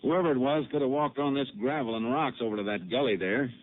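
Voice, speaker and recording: An actor playing a calm, methodical male Texas Ranger detective in a 1950s radio drama, asking measured questions. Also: medium pitch, close to an everyday voice. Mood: steady, probing. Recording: tinny lo-fi old radio broadcast with faint hiss.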